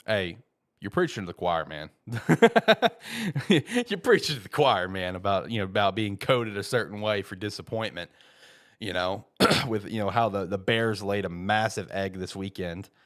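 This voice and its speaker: Low-voiced man, casual football talk, slightly congested. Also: animated, unhurried, a bit bright. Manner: informal, repeats himself for emphasis, frequent "you know" and "man". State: slightly congested from a head cold. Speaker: host of a football show. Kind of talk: casual sports talk, opinionated commentary.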